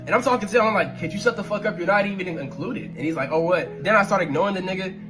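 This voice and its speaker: A man telling a casual, slangy story about one of his videos, acting out the other people's lines as he goes. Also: on the high side. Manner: animated, slangy, laughs while telling. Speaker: content creator telling a story.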